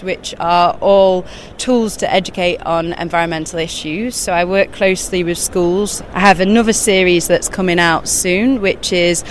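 A woman talking as an interview guest at a busy exhibition show, animated and quick, often laughing mid-sentence. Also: lowish voice, slight background noise. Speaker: guest, children's author and eco-journalist. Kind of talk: casual interview chat.

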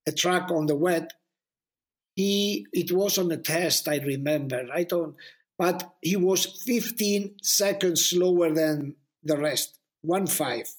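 Male journalist guest, high voice, foreign-accented English, halting casual storyteller who repeats words for emphasis. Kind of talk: casual anecdotal chat.

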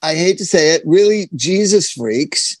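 A man's voice: fairly high pitch, lively intonation, measured pace, no echo. A man in his sixties, a podcast host telling rambling, profane stories in casual chat. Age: 60s.

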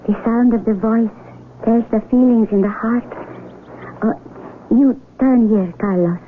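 Lady acting innocent young village girl in a radio play, earnest, highish voice, tinny old broadcast. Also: measured pace, slight background noise, lightly acted. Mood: gentle, curious. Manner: earnest, simple formal diction. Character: sheltered blind young village woman, earnest, curious, quick to anger.

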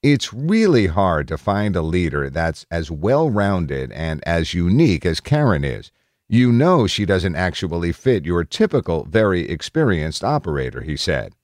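Low-pitched man reading news, lively intonation. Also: a little slow, no room sound, studio quality. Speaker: article narrator. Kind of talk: scripted news article narration.

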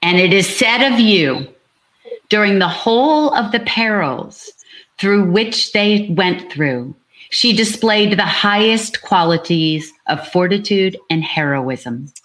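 A woman's voice: medium pitch, animated, slow pace, fairly bright tone, poor audio. A woman co-hosting a live book talk, switching between chatting and reading aloud. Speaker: author and co-host reading out character fates.

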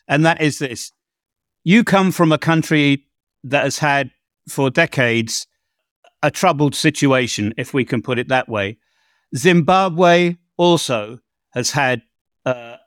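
English man hosting, dry and clear. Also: a little high, a bit bright, no room sound. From England. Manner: rambling, repeats words while framing questions. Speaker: podcast host. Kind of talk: conversational interview.

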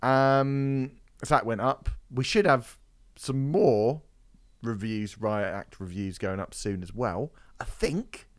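Rambling, sweary podcast host. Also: male voice, medium pitch, animated, slow, no echo, studio quality. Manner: swearing, rambling, laughs often. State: voice a bit off after karaoke. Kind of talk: casual podcast chat.

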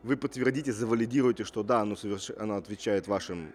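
Assertive guy from russia, talks fast, lowish voice, keeps throwing rhetorical questions. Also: slight background noise. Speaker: entrepreneur guest. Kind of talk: casual interview talk.

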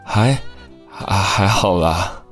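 A man playing a flustered, animated, caring young man. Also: sits low, slow pace, faint music behind, heavily acted. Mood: flustered, concerned. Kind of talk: boys' love audio drama acting.

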